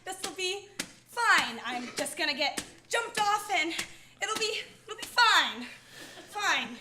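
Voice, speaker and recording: A woman's voice: extremely high pitch, sing-song, slow pace, roomy sound, a little hiss or hum, exaggerated voice. An actress playing a flustered young mother of three in a comic radio drama. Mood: nervous. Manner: flustered, exclamatory, bright.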